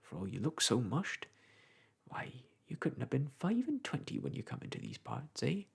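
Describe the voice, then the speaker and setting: A man's voice: medium pitch, huge pitch swings, moderate pace, gravelly, slightly rough, in a whisper, heavily acted. A man doing a rambling old village clerk. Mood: probing. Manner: rambling, folksy, lecturing. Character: garrulous old male village parish clerk.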